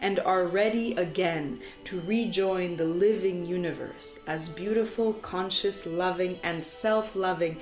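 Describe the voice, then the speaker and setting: A woman storyteller delivering poetic scripted narration in a solemn, deliberate way, with dramatic phrasing and emphatic repetition. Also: lowish voice, a little mellow. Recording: echoey, reverberant sound with music behind. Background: music.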